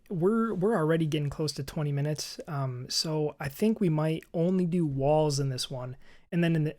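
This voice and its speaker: A hoarse man with a scratchy edge narrates a coding lesson. He talks in a chatty, upbeat way while he types, thinking aloud as he goes. Keys clatter behind him. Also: on the high side, a little mellow. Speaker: programming tutorial host. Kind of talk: screen-recorded coding walkthrough. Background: keyboard typing.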